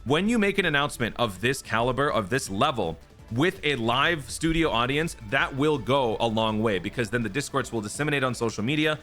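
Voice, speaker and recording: Bright-voiced man explaining game theories. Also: medium pitch, expressive, a little fast, faint music behind. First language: not Japanese. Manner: chatty, explanatory, frequent "right?" tags. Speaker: YouTube gaming channel host. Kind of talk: casual commentary and speculation.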